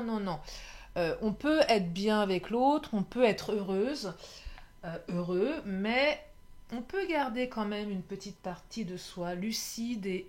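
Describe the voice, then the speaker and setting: A woman reading oracle cards for her viewers in a casual, chatty way, a bit hoarse, often laughing and correcting herself. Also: mid-range voice. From France. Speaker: tarot and oracle card reader. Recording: faint background noise.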